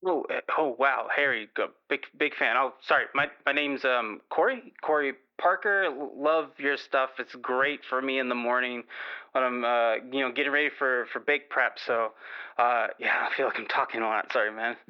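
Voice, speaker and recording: A man playing a nervous, self-doubting baker calling a radio show in an improvised audio drama, halting, rambling and apologetic, on a slightly muffled line. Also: mid-range voice, clean tone, natural voice. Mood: nervous, starstruck. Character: nervous, self-doubting male baker who longs to be an artist.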